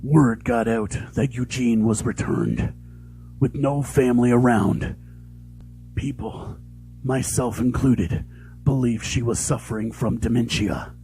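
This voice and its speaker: A man playing a sorrowful, sympathetic small-town sheriff, giving a measured, solemn dramatic narration. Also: medium pitch, creaky, mellow, soft background music, rough recording. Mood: somber, regretful.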